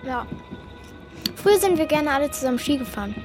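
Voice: high-pitched, expressive, fairly quick, over music. A young performer playing a frank, resolute girl with a blunt, reassuring manner in a German children's radio drama. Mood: frustrated. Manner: blunt, matter-of-fact, reassuring. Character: frank, resolute girl, the boy's protective companion.

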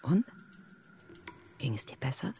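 A woman's voice: unhurried, warm tone, faint music behind, natural voice. An actress playing a menacing woman intruder in a radio play, taunting and insinuating as she speaks and narrates. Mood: probing. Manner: needling, insinuating. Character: menacing, taunting female intruder who knows her secret. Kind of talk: radio play dialogue and second-person narration.

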